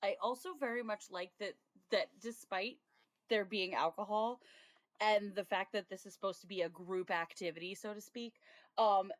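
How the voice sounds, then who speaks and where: A woman's voice: medium pitch, steady tone, moderate pace, dry recording. A woman co-hosting a podcast, chatting casually about TV episodes, laughing often and acting out her own reactions. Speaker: podcast co-host. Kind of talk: casual TV review chat.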